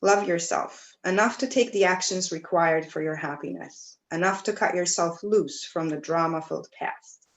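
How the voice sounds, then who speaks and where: A woman teaching yoga, guiding breathing and meditation in a gentle, soothing way, with pauses between phrases. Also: on the low side, rough recording. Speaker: yoga teacher leading a class. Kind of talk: guided breathwork and meditation instruction.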